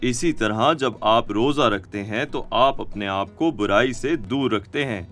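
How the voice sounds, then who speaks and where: A man voicing a kindly, encouraging cartoon teacher who explains things to young children in an animated way. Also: on the low side, measured pace. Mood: gently instructive. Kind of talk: children's educational cartoon dialogue. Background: music.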